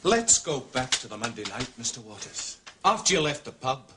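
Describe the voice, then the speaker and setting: An actor playing a probing Scottish police officer with a rough, husky voice in a radio drama. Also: male voice, mid-range voice, very animated, normal speed, very noisy, lightly acted. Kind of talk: British radio drama acting.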